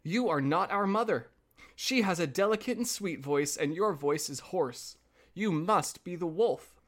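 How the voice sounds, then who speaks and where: A man's voice: high voice, lively intonation, a little slow, voice pushed up. A man voicing small young goats in a fairy tale, wary and insistent like children. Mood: wary, defiant. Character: small, wary, trusting young goat kids.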